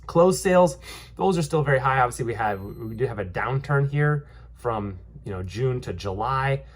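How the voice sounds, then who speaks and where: A man's voice: medium pitch, lively intonation, normal speed. A young man with a stuffy nose from a cold, giving a casual market update as a realtor, rambling with lots of ums and restarts. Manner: rambling, many ums and restarts, upbeat reassuring. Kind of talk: casual vlog market commentary.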